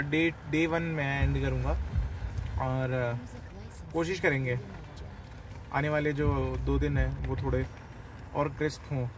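A man's voice: fairly high pitch, background noise. Young male travel vlogger, casual and rambling, talking to a handheld camera, with a bit of a lift in his voice. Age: young adult. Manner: chatty, rambling, mixes English phrases into his speech. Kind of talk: casual vlog talk to camera. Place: inside a car on a road trip; hotel room, indoors. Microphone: camera held at arm's length.

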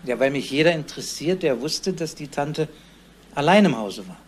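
Actor as probing higher-voiced male police detective. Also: lively intonation, moderate pace, natural voice. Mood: calm questioning. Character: probing, matter-of-fact male police detective, mildly impatient. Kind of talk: German radio crime drama acting. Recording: clear background noise.

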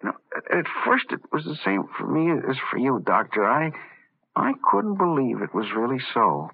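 An actor in an old radio drama playing an ordinary, halting man who has found menacing power. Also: mid-range voice, big pitch swings, vocal fry, husky, heavily acted. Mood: brooding, intense. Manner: halting, repeating words. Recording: muffled, phone-like vintage radio recording.

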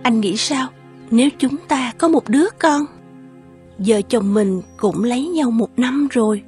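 A woman voicing a gentle young woman in love in an animated folktale, deferential and yearning. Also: a little high, unhurried, soft background music, natural voice. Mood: hopeful.

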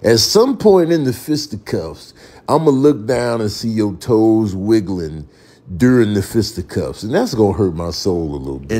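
A man with an American accent doing comic voiceover commentary in a theatrical, exasperated way full of inventive slang. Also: mid-range voice.

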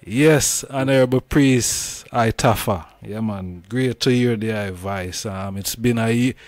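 A man's voice: lowish voice, measured pace, dry recording. A man with a Jamaican accent hosting an interview in respectful, casual Rastafarian Patois. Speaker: host.

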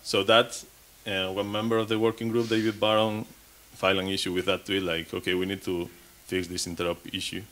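Man, 30s, lowish voice, speaking from a podium at a conference, restarting and repeating words as he goes. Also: little pitch movement, a little fast, hardly any pauses, a bit bright, rough recording. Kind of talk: technical conference talk. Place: conference stage.

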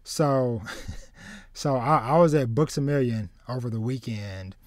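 A man in his thirties hosting a casual podcast chat, halting and repeating words as he starts his sentences. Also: mid-range voice, dry recording, clean studio sound. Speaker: podcast host. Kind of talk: casual chat about anime and manga. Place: small home room with shelves, video call.

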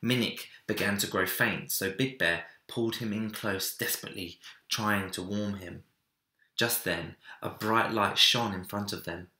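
A young man narrating a children's storybook with dramatic, deliberate phrasing in a room indoors. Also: on the low side, fairly even intonation, pushed and bright. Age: young adult. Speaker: story reader. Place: small home room, indoors. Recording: roomy echo.

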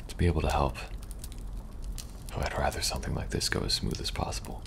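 Man acting weary young agent, extremely low voice, soft-spoken, halting, dry and kind, ASMR roleplay, some background noise. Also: steady tone, gravelly. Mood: calm, reassuring. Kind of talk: intimate ASMR audio roleplay.

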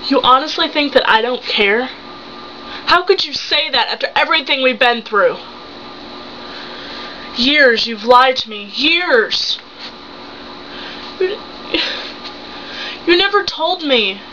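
A seventeen-year-old young woman acting a betrayed, hurt and angry wife and mother in an improvised dramatic monologue, accusing with halting, emphatic phrases. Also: high voice, expressive, pushed and bright, exaggerated voice. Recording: muffled home recording with hum.

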